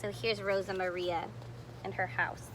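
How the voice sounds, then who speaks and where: A woman's voice: mid-range voice, little pitch movement, unhurried, a bit bright, slight background noise, rough recording. A woman reading a picture book aloud expressively, explaining details to young listeners in casual asides. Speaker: storybook reader.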